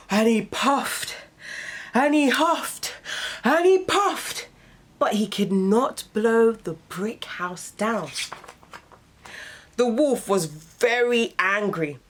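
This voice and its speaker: A young adult woman reading children's stories aloud as a host, with dramatic, deliberate emphasis. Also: on the high side. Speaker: radio host, storyteller. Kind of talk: expressive children's storybook narration.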